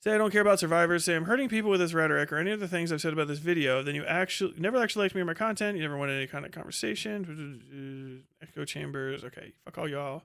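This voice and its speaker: A high-voiced man hosts a livestream, reading posts aloud and reacting to them. His commentary is casual, full of swearing, and delivered with open sarcasm and mockery. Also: racing, gravelly, a bit bright, clean tone. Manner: heavily profane, sarcastic, mocking. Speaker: livestream host.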